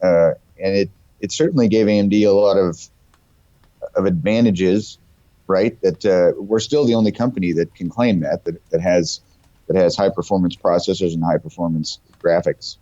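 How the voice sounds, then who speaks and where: Casual male guest, low and mellow, has a cold, informal tech chat on a dull-sounding call. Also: fairly even intonation, normal speed, dry recording. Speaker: guest company representative. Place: remote call over the internet. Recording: muffled, dull call audio.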